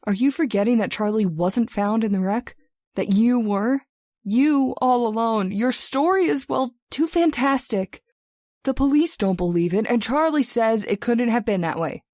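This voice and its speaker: A woman's voice: fairly high pitch, normal speed, darkish tone, dry recording, clean studio sound, natural voice. A woman playing an earnest young sweetheart, anguished and doubting, in old-time radio drama style. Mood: troubled, doubting.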